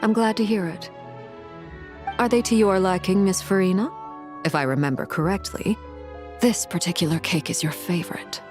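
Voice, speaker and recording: A woman's voice: mid-range voice, normal speed, pauses often, music in the background, natural voice. A woman voicing a poised, commanding woman in a video game, formally courteous, composed and dryly amused.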